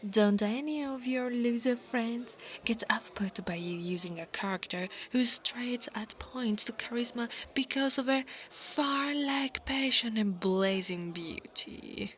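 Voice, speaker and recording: Slow-talking haughty young dragon girl, acted by a woman. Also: fairly high pitch, fairly bright tone. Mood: scornful, prying. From Italy. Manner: sneering, taunting. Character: haughty, insecure young female dragon bully. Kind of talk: ASMR roleplay acting.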